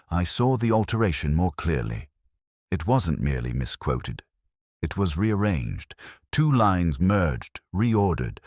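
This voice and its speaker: An actor playing a weary, melancholy middle-aged detective, narrating a scripted mystery in a measured, literary, sombre way. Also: male voice, rumbling low, no room sound, studio quality, full character voice. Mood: pensive, sombre.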